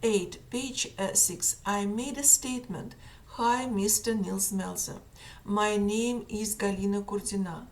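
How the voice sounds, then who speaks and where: A woman with a strong Russian accent and Russian as her native language, reading out a numbered list of objections in a halting, methodical and pointed way, with many fillers. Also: mid-range voice, fairly even intonation, darkish tone, distant mic, poor audio. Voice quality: halting, many fillers, careful deliberate phrasing. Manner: methodical, pointed, slightly sarcastic. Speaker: complainant reading a list of errors.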